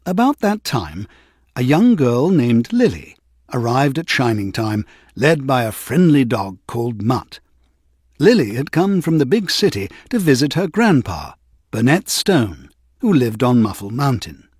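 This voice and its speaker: A male narrator tells a children's tale in an expressive storytelling style. His pitch moves widely and his voice has some huskiness. The recording is dry and close. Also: unhurried, husky, dry recording. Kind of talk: scripted children's read-along story narration.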